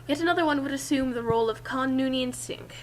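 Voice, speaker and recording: A woman's voice: sits high, fast. A woman reading a story aloud in a theatrical way, with casual asides. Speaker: reader and commentator.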